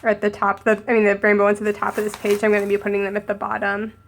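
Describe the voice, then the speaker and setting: A young woman with a cold, a little hoarse and sniffly, chatting casually as she narrates decorating her planner. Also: medium pitch, completely flat delivery, rapid-fire. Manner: chatty, upbeat, thinking aloud while crafting. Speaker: planner vlogger.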